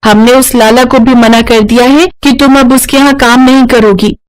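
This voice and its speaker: A woman's voice: fairly high pitch, steady tone, normal speed, lightly acted. A woman voicing a sweet, eager, plucky schoolgirl in a cartoon story. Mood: caring. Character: sweet, plucky schoolgirl sisters selling pizza.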